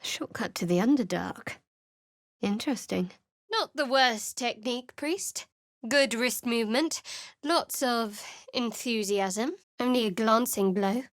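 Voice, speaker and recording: Guarded and sardonic, this young female cleric speaks in a fairly high, dry-edged voice. Her remarks come out cool and sarcastic, recorded close and completely dry in a studio booth for a game. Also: lively intonation, unhurried, no room sound. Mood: wry. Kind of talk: video game voice lines. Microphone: close studio mic.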